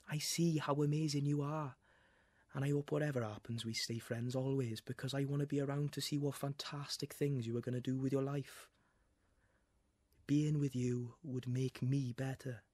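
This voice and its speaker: Young guy doing a nervous lovesick lad, quiet, earnest, swears under his breath. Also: mid-range voice, dry recording, lightly acted. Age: young adult. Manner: soft, earnest, hushed with sudden swearing. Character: young man nervously confessing his love. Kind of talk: acting class monologue.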